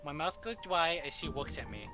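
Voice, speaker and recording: A man's voice: on the high side, animated, fairly quick, muffled recording. A man streaming a visual novel playthrough, chatting in a jokey, sweary way and reading text aloud. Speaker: game streamer. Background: visual novel music.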